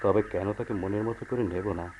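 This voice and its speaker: A man acting a calm, pragmatic husband who earnestly placates his unhappy wife in a radio play. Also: on the low side, moderate pace, close to an everyday voice. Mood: soothing. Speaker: radio drama actor. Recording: dull, noisy old radio drama recording.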